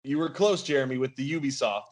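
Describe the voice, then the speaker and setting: A man's voice: medium pitch, quick pace. Man hosting a casual quiz. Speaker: podcast game host.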